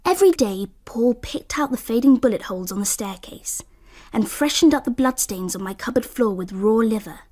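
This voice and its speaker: Breathy, hushed woman narrator. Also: on the high side, animated, moderate pace. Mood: reflective. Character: woman recalling her girlhood summer in France, reflective. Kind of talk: radio drama narration.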